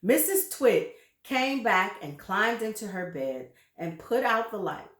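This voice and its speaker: A woman reading a children's story aloud with deliberate, dramatic emphasis and brief asides to her listeners. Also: medium pitch, very animated, echoey room.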